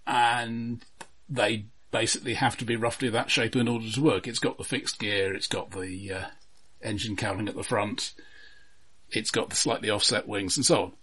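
A man's voice: fairly low pitch, fairly even intonation, moderate pace, fairly bright tone, breathy edge. A man co-hosting a film podcast, chatting casually in a hesitant, pedantic, detail-minded way. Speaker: film discussion podcast co-host.

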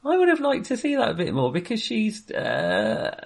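A man's voice: high voice, wildly swooping pitch, normal speed, gravelly. A man co-hosting a film podcast in casual discussion, hesitantly circling back and qualifying his points. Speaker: film review podcast co-host.

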